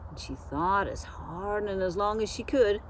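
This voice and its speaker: A woman narrating a children's storybook expressively, like a school librarian, with deliberate dramatic emphasis. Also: mid-range voice, slight background noise. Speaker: school media specialist reading a storybook.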